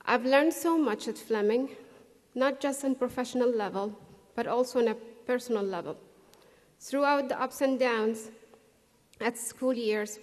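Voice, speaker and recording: A woman's voice: a little high, a little mellow. Earnest woman speaking in an echoey hall. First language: not English. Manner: earnest, measured, with pauses for emphasis. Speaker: graduation speaker. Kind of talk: prepared convocation speech. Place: large hall. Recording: distant echoey hall recording.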